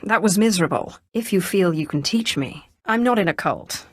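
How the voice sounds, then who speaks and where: An actress playing a cold, commanding Sith Inquisitor in a video game, with an imperious, clipped and dryly sardonic delivery. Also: female voice, medium pitch, lively intonation, normal speed, no echo, clean studio sound, lightly acted. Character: female Sith Inquisitor, cold, commanding, menacing young sorceress. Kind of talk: scripted video game dialogue.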